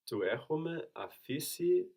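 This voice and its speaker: A man's voice: a little high, sing-song, a little slow, vocal fry, a little mellow. A man taking a language lesson, giving short, hesitant answers that often begin with a murmured "mm". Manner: hesitant, answering with short mm's before replies. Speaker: student.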